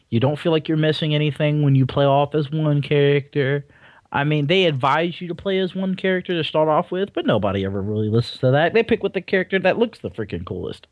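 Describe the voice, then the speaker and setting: A young man with a fairly high voice talks through a casual gaming podcast, giving blunt, rambling opinions. His mic is close and dry, with no room sound. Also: dry recording. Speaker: podcast co-host. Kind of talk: casual chat about video games.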